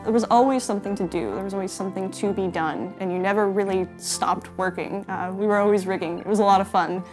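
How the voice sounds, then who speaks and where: A young woman, a former animation student, giving an energetic, candid documentary interview. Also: mid-range voice, quick pace, hardly any pauses. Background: music.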